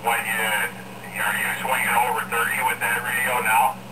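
A man chatting casually and haltingly over CB radio, giving advice to another hobbyist. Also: medium pitch, monotone. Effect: CB radio transmission. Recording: CB radio speaker, very loud static.

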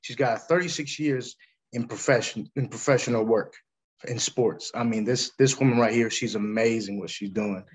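A middle-aged American man with a husky voice hosts a sports interview. He speaks at an ordinary male pitch and keeps a polite, respectful manner with his guest. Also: steady tone, normal speed. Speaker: interviewer, host. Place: indoors on a video call.

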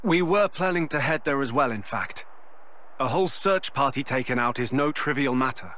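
An actor playing a composed, scholarly inventor and leader in a video game, speaking in a polite, measured, explanatory way. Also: male voice, mid-range voice, lively intonation, fairly bright tone, close to an everyday voice. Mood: calm, resolute. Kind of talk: video game cutscene acting.

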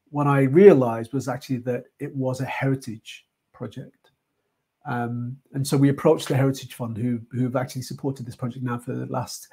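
A man's voice: medium pitch, a little mellow, husky. A middle-aged man with a British accent giving an informal slide presentation over a video call, thinking aloud with frequent hesitations. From United Kingdom. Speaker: artist and project leader presenting a heritage talk. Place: indoor room, online video call.

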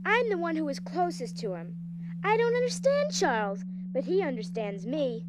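Woman as a very high-voiced earnest girl. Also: wildly swooping pitch, normal speed. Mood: earnest resolve. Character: earnest, stubborn, loving teenage girl heroine. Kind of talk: audio drama acting. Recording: very noisy, humming background.

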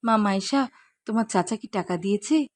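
A woman voicing a poor, gentle widowed mother in a moral cartoon, speaking tenderly and earnestly. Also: medium pitch, moderate pace, dry recording, studio quality, close to an everyday voice. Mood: tender, reassuring. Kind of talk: Bengali moral cartoon voice acting.

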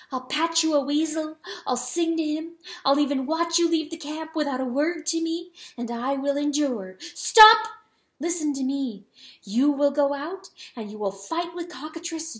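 A woman's voice: high voice, slow pace, a little mellow, voice pushed up. A woman voicing a grieving, raging hen wife in a dramatic audiobook, pleading and declaiming with passion. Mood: anguished, defiant. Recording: roomy, echoing sound.

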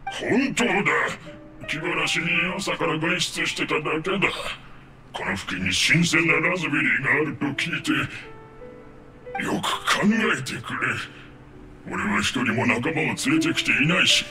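A man's voice: on the high side, expressive, normal speed, lightly acted. A man playing a hapless, indignant masked henchman in an anime-style game, protesting and exasperated. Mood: pleading. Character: gruff but hapless male masked henchman, flustered and indignant. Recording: game music behind the voice.

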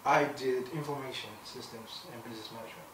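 A man's voice: mid-range voice, fast talker, recorded from a distance, slight background noise. Young man talking in an echoey room. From Zimbabwe. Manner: animated, many fillers and restarts. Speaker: guest, clothing brand founder. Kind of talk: casual sit-down interview. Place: indoor room, seated on a sofa. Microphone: far camera mic.

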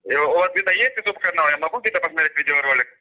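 Man, quick and insistent, formal, bright sharp voice, phone line. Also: a little high, animated. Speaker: phone scammer posing as a company worker. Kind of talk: phone call.

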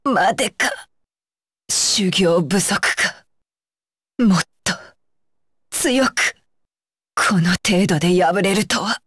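A woman's voice: medium pitch, extremely slow, long pauses, fairly bright tone, slightly rough, no room sound, heavily acted. A woman playing a fierce, disdainful young female shinobi with a curt, commanding manner, in anime video game battle lines. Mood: bitter, defeated.

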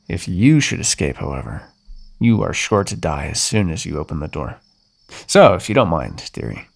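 A man voicing a centuries-old male vampire in an ASMR audio roleplay, taunting and mocking the listener he calls dearie. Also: exaggerated voice. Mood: commanding. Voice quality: low gravelly voice, husky.

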